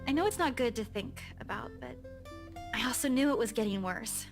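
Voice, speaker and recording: A woman's voice: fairly high pitch, animated, music in the background, natural voice. An actress playing a worried, downcast young woman in a visual novel, speaking hesitantly. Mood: hesitant, sad. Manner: hesitant, halting.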